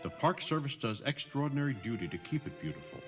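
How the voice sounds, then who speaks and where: A man's voice: medium pitch, sing-song, quick pace, over music. A man narrating a scripted audio tour, explaining things directly to the listener.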